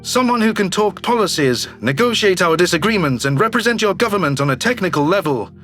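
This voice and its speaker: Man playing a high-voiced, commanding alien governor. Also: lively intonation, a bit bright, soft background music. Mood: curt, demanding. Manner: brisk, authoritative. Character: shrewd, businesslike male alien governor, curt and commanding.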